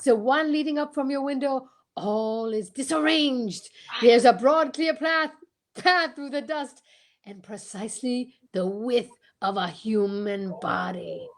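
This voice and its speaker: A woman playing a pompous, courteous male detective, formal and deliberate, in old-time radio mystery style. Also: fairly high pitch. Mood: explaining proudly. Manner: formal, deliberate, theatrical questioning.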